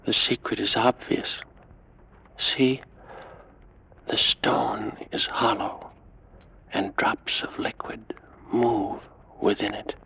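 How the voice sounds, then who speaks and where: Male as a millennia-old Egyptian priest: low, monotone, formal, world-weary, vintage radio drama. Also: vocal fry, whispered, heavily acted, rough recording. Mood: grave, resolute. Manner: formal, slow, deliberate. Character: weary 3,500-year-old Egyptian priest, longing for death. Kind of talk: 1940s radio acting. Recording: vintage radio recording with background noise.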